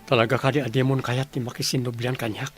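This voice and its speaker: A troubled young man in an Ilocano Filipino radio drama, played by a male actor. His mid-range voice is husky, and he speaks earnestly, like someone burdened by relationship woes. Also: medium pitch, flat delivery, quick pace, faint music behind, close to an everyday voice. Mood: hurt, pleading.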